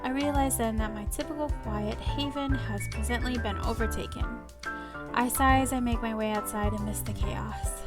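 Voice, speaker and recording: A woman reading a first-person romance story aloud in an expressive, playful manner. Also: fairly high pitch, normal speed. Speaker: visual novel reader. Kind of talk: first-person narration with live asides. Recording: loud music behind the voice. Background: loud music.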